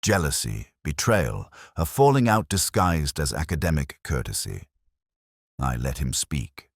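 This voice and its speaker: A man narrating a scripted first-person detective story in a measured, literary, brooding manner. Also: a bass voice, no echo, clean studio sound.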